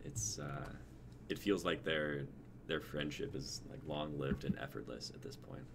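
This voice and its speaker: Deep-voiced young man, noisy recording. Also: steady tone, a little slow, creaky. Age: young adult. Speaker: co-host of an online readers' stream. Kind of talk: casual group chat.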